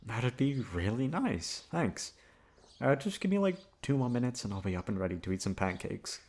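A man playing a shy, flustered, gentle young classmate in an ASMR roleplay, hesitant and stammering. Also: medium pitch, sing-song, normal speed, breathy edge. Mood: shy relief. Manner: hesitant, stammering, soft-spoken.

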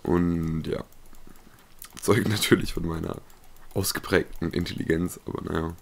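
A man's voice: low-pitched, steady tone, moderate pace, creaky. A young man commentating a survival game, swearing often and yelping when startled, slightly ill and coughing. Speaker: let's play gamer. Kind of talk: casual gameplay commentary.

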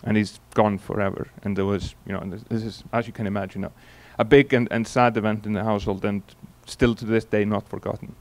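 A man in his forties from Iceland with an Icelandic accent, giving a relaxed conference keynote into a handheld mic, telling stories with many fillers and restarts. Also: lowish voice, quick pace, few pauses. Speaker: keynote speaker. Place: large conference hall on stage. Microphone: handheld microphone.

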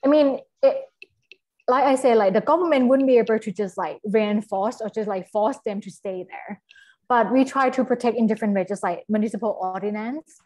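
A woman's voice: a little high, darkish tone. A woman in her thirties with a Thai accent, explaining conversationally on a video call through an earphone mic, often searching for words. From Thailand. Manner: halting, searching for words, frequent "like" and "you know". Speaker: guest speaker. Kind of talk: conversational explanation in an online session. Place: small indoor room, video call. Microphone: wired earphone mic on a video call.

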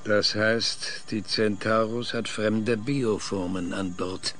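An actor playing an irascible, indignant older professor who once trained space crews, in a German audio drama. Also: male voice, lowish voice, fairly even intonation, slow pace, fairly bright tone, faint music behind. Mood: probing. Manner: indignant, blustering.

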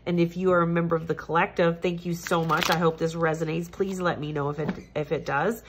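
A woman with a stuffed-up, nasal voice reading tarot cards at home in a gentle, repetitive, reassuring way. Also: lowish voice, fairly even intonation, brisk, noisy background. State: congested, stuffed-up nose. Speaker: tarot reader. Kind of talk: casual tarot reading monologue. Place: home, at a table.

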